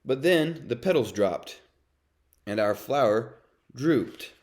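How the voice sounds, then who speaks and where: A man's voice: mid-range voice, expressive, slow pace, a little mellow. A man reading a children's storybook as a brooding, self-confessed bad sunflower seed, in a dramatic, confessional way. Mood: wistful. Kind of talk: children's read-aloud narration.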